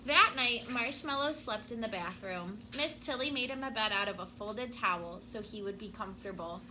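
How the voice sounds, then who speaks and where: A woman teacher reading a picture book aloud to her class, expressive and stopping to prompt the children. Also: medium pitch, moderate pace, noisy background.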